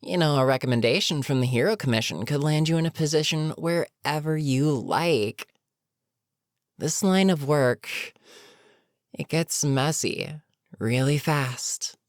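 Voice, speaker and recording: A woman playing an older, weary supervillain in an audio roleplay, menacing yet protectively concerned, with a measured, sardonic, sighing delivery. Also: sits low, dry recording, clean studio sound. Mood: smug, menacing. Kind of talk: dramatic audio roleplay monologue.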